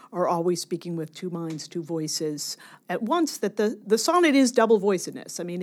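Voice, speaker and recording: A woman scholar being interviewed, explaining ideas in an academic but conversational and emphatic way. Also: lowish voice.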